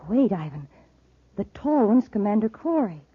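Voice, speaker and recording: An actress playing a cold, commanding, scornful criminal boss in a 1950s radio serial. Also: female voice, mid-range voice, very animated, moderate pace, dry recording. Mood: wary, commanding. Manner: commanding, crisp orders, scornful. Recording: muffled old 1950s radio recording.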